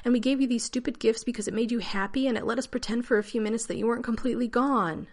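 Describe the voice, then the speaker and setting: A woman's voice: fairly low pitch, moderate pace, close to an everyday voice. Woman voicing a snappish, exasperated scientist man. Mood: shouting. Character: irritable, sharp-tongued adult male scientist.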